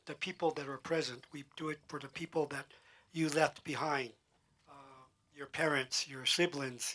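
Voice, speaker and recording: A man with a slightly raised voice speaks slowly and with care, pausing often between phrases. He addresses a group of students as an elder offering a prayer. His first language is a Pueblo tongue. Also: on the high side, pushed and bright. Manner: slow, measured, with frequent pauses and fillers. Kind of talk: spoken prayer and explanation to students. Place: town hall meeting room.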